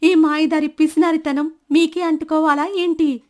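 Miser's whining wife acted by a female storyteller, high and reproachful, for a village storybook tale. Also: little pitch movement, normal speed, natural voice. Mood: exasperated. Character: exasperated complaining wife of a miser. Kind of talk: dramatised moral story.

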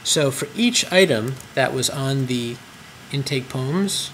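Male trainer, mid-pitched voice, relaxed explanatory screen tutorial, faint hum. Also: slow, low-quality recording. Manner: explanatory, frequent ums and uhs. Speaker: clinic trainer. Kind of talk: screen-recorded software tutorial.

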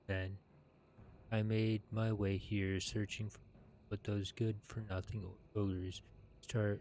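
A man in his thirties reading video game quest text aloud in a halting, deliberate way, with some plain commentary. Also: low-pitched, a little mellow, a little hiss or hum.

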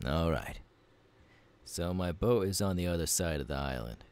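A man voicing a gruff, easygoing pirate captain in an ASMR roleplay, gravelly and unhurried, talking intimately to the listener. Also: low-pitched, a little mellow. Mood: relaxed, welcoming. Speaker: voice actor.

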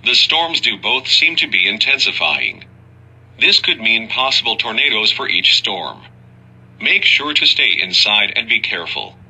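Guy doing tv weather news, really sharp forceful voice, lowish, muffled noisy recording. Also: very animated, measured pace, breathy edge. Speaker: news anchor. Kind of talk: amateur TV weather news broadcast.

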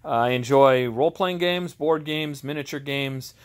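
A man's voice: medium pitch, moderate pace, clear, resonant tone. A middle-aged man with an American accent chats off the cuff as a game reviewer, rambling and self-deprecating. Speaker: host, tabletop game reviewer. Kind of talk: unscripted casual review chat. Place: home game garage.

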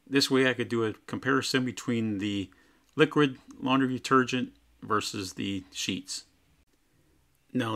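A man's voice: medium pitch, vocal fry, fairly bright tone. A man narrating a plain, matter-of-fact home test of laundry detergents as a product reviewer.

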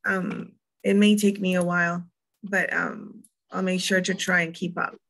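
A woman's voice: on the low side, little pitch movement, unhurried, a bit bright. A young woman from Puerto Rico with a Puerto Rican accent, presenting a virtual museum tour in a chatty way, with frequent ums and restarts. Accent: Puerto Rican Spanish.

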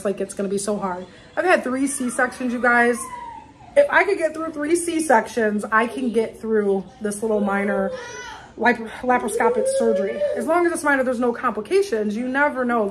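A woman's voice: fairly high pitch, a little hiss or hum. A woman in her thirties, a mother of three, chatting casually and rambling to a camera held at arm's length in her home. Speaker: vlogger, single mom of three. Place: home dining area indoors. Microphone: phone or camera at arm's length.

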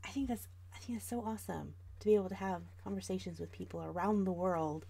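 Female livestream host, about 40, American. Casual, chatty delivery direct to viewers, noticeably creaky tone. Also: fairly low pitch, quick pace, dark tone. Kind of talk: casual livestream chat while drawing.

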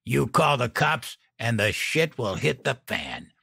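A man voicing a violent, sneering drunk smoker with a raspy croak and coarse, slangy speech, in an audiobook. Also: medium pitch, animated, a little slow, bright, forceful tone, no echo, clean studio sound, voice pushed up, exaggerated voice. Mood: threatening.

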